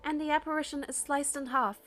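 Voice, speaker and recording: High-pitched woman narrating a dramatic story. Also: steady tone, brisk, fairly bright tone, faint music behind. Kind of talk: dramatic scripted first-person story narration.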